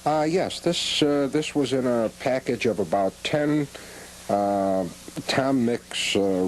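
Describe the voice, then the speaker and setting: A man chatting on a television show, explaining collectible items in a measured way with frequent pauses. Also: fairly low pitch, darkish tone, low-quality recording. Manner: measured, explains at length with many "uh"s. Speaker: radio premium collector guest. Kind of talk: casual TV chat about collectibles. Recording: old 1980s cable TV audio with hiss.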